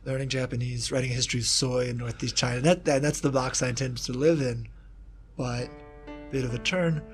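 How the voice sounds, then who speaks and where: Kentucky man as interview guest, mid pitch, thoughtful and rambling, faint music behind. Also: steady tone, brisk. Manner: thoughtful, rambling with asides and restarts. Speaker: guest researcher, historian of East Asia. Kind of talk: casual reflective interview talk.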